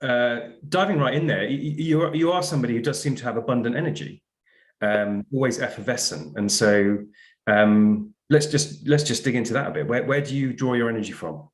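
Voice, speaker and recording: A man hosting a podcast, introducing a guest and asking questions in a measured, slightly hesitant way. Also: medium pitch, reverberant. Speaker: podcast host. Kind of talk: warm guest introduction and interview.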